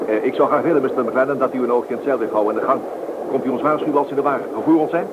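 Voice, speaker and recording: Male radio-drama inspector played by a male actor, brisk and commanding, rapid delivery, thin band-limited sound. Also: mid-range voice, natural voice. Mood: hurried. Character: stern male police inspector escorting a prisoner. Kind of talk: radio play acting. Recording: muffled phone-like audio, loud train noise.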